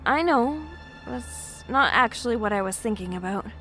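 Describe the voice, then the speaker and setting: Casting: actress for an earnest, anxious young female commuter, higher voice, audio drama over faint music. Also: lively intonation, normal speed, sharp tone. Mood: uneasy, hesitant. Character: friendly, anxious young woman, train commuter. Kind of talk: naturalistic audio drama acting.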